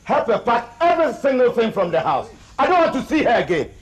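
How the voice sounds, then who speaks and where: A man's voice: very high-pitched, very animated, fairly quick, distant mic, slight background noise. A man playing a domineering, short-tempered Ghanaian household chief in a film drama, with a Ghanaian English accent. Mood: angry, commanding. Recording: very echoey room, off-mic, music behind.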